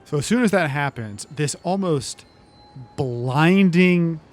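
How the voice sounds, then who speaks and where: A man playing a tabletop fantasy game, casually thinking aloud in halting phrases as his character. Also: on the high side, expressive. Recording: clearly noisy recording.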